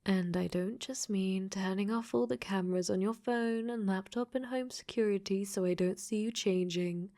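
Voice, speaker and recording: A woman voicing a clingy, possessive AI dating-sim girl in a soft, coaxing, teasing ASMR roleplay that drops into whispers. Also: medium pitch, moderate pace, hardly any pauses, natural voice. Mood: sweet, coaxing.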